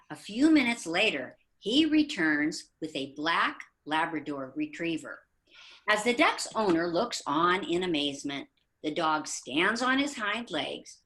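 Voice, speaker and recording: A woman narrating a comic tale slowly and expressively, with a very lively rise and fall in a lowish voice. She tells it the way readers theater is told. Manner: slow, expressive storytelling.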